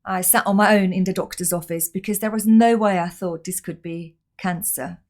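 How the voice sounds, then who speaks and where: A woman's voice: mid-range voice, fairly even intonation, normal speed, darkish tone. A woman from Austria, a podcast guest telling her personal story in an open, reflective way.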